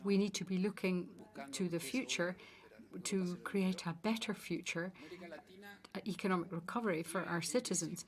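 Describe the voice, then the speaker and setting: A woman interpreting formal meeting speeches live, in halting, phrase-by-phrase English delivery. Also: on the low side, fairly even intonation. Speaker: simultaneous interpreter. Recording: loud crowd murmur behind.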